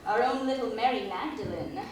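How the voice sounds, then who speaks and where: A woman's voice: on the high side, fairly quick, slight background noise, lightly acted. A woman playing a domineering, status-obsessed young society fiancée in stage musical theatre, with a grand, bossy, theatrical delivery. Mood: disdainful. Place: staged reading before a live audience. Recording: echoey live stage, distant mic, loud music.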